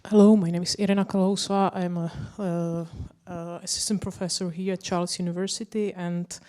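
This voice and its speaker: Academic woman, low and level voice, frank and wry self-introduction. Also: level tone, a little fast, mellow. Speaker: assistant professor.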